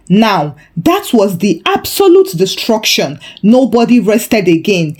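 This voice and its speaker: A woman's voice: mid-range voice, darkish tone. A woman narrating a folktale in a theatrical, humorously exaggerated style. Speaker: storyteller. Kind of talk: dramatic scripted folktale narration.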